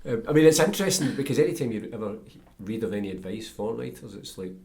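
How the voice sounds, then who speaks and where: A man's voice: on the low side, lively intonation, fast talker, gravelly, darkish tone. A man, speaking as a podcast host interviewing a guest. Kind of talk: scripted introduction, then conversational interview.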